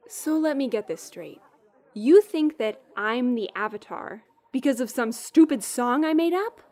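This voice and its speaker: Woman acting an earnest young woman, high expressive voice. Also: unhurried. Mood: incredulous. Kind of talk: fan visual novel voice acting.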